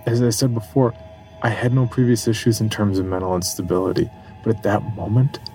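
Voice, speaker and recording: An actor playing a haunted man who gravely recounts his terror and slide into madness in a horror audio drama. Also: on the low side. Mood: grim recollection. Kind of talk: first-person horror storytelling.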